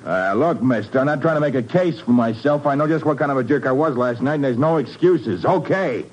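A man's voice: medium pitch, fairly quick, dull audio, close to an everyday voice. An actor playing a rueful, defensive American construction supervisor in a 1950s radio drama, talking tough, regular-guy slang. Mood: defensive, agitated. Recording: noisy old radio recording.

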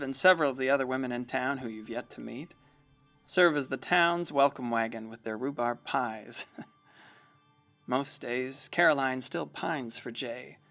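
A man's voice: medium pitch, creaky, pushed and bright. A man playing a wry, folksy small-town storyteller, narrating a radio play. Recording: music behind the voice.

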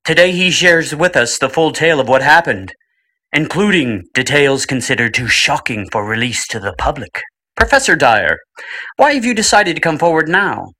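A man's voice: fairly high pitch, sing-song, moderate pace, sharp tone, exaggerated voice. A man playing an old-time radio host who introduces the program and its guest in a theatrical announcer style. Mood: formal.

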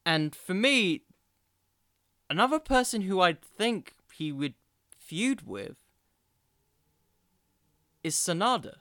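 A man hosting a solo podcast, giving conversational commentary in a musing, halting way with emphatic bursts. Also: high-pitched, big pitch swings, pushed and bright, dry recording, clean studio sound. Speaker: podcast host.

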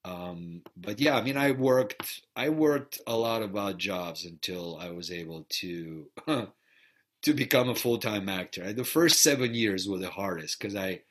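A man's voice: fairly low pitch, expressive, measured pace, fairly bright tone. A middle-aged man from Bulgaria, an actor and theater teacher giving a reflective interview, often repeating words as he restarts. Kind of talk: interview conversation.

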